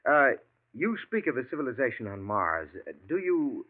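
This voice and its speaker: A man's voice: medium pitch, very animated, normal speed. An actor playing an anxious male writer in his thirties in a 1940s radio drama, stammering and urgent. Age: 30s. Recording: tinny old radio broadcast.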